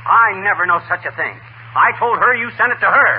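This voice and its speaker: An actor playing a boastful, fibbing husband who stammers folksy excuses in a 1940s radio comedy. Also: male voice, high voice, animated, a little fast, phone-quality sound. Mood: nervous, defensive. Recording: thin, hissy 1940 radio recording.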